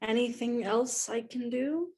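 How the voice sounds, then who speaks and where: A woman playing a nervous, polite waitress who hides her strain, soft-spoken and hesitant, in a rehearsed screenplay read. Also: fairly high pitch, unhurried, breathy edge. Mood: polite, tentative.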